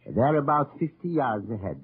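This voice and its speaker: An actor playing a sly, courteous French resistance leader with a French accent and formal, wry phrasing, in old radio drama style. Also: male voice, mid-range voice, sing-song, moderate pace, breathy edge, full character voice. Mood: wry, urgent. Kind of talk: 1940s-50s radio drama acting. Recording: muffled noisy old radio recording.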